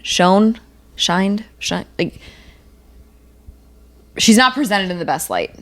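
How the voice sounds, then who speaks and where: A woman's voice: medium pitch, expressive, slow, a bit bright. Chatty woman podcast co-host. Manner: chatty, informal. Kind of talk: casual banter.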